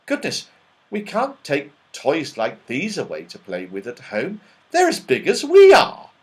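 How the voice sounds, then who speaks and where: A man's voice: on the high side, huge pitch swings, measured pace. A man voicing an anxious young girl in a children's storybook, worried and exclamatory. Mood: amazed.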